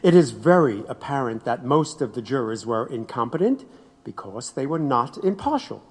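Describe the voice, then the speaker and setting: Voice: medium pitch, sing-song, moderate pace, husky. An actor playing an indignant advocate denouncing a biased trial in a formal, emphatic historical drama monologue. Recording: long hall reverb.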